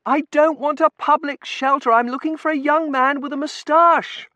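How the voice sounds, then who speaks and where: A man's voice: very high voice, steady tone, normal speed, no room sound, muffled recording, pitched above the performer's own voice. A man voicing a frantic young woman in an audiobook, urgent and crying out. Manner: urgent, shouted and screamed. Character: frantic young woman calling out in air raid.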